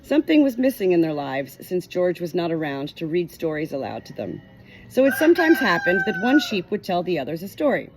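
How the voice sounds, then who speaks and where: A woman reading a novel chapter aloud in an expressive storytelling manner, giving short quoted lines a light change of voice. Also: on the low side, brisk, loud music behind the voice, poor audio. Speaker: audiobook reader.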